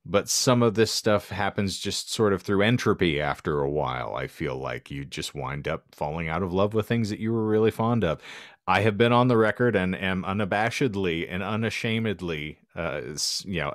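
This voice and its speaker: Low-pitched, wordy man on a podcast. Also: expressive, moderate pace, dry recording, studio quality. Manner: wry, wordy, ornate vocabulary. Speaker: podcast co-host. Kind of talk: casual podcast discussion.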